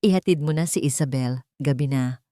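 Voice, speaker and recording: A woman voicing a calm but firm elderly mother-in-law in an audio love drama. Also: extremely low pitch, normal speed, no room sound, clean studio sound.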